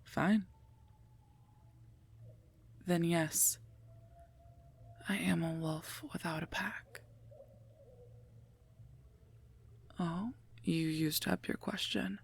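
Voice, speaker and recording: A woman voicing a sardonic female werewolf knight and mercenary bodyguard in an ASMR roleplay, husky and gravelly, dry and curt. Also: on the low side, fairly even intonation, takes it slowly, long pauses, exaggerated voice. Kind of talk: scripted ASMR roleplay, addressed to listener.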